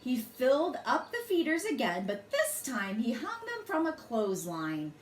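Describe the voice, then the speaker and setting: Female children's storyteller: very lively, high-ish pitch, unhurried dramatic delivery, roomy recording. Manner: deliberate, dramatic phrasing for children. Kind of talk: expressive bedtime story read-aloud. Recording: roomy echo, faint background noise.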